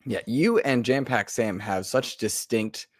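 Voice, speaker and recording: A man co-hosting a gaming podcast in a casual, animated chat with emphatic asides. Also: mid-range voice, measured pace, a little hiss or hum. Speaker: podcast co-host.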